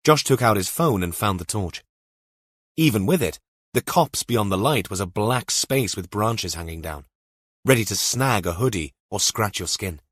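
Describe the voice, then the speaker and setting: A man's voice: fairly low pitch, expressive, moderate pace, a bit bright, dry recording, studio quality. A man narrating a scripted thriller audiobook.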